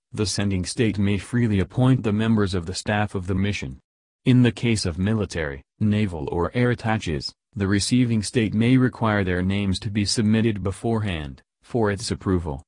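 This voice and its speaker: A man reads a legal text aloud at an even, measured pace, clause by clause. His voice sits on the lower side. The recording is dry and close, with no room echo. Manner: steady, measured, enunciating each clause.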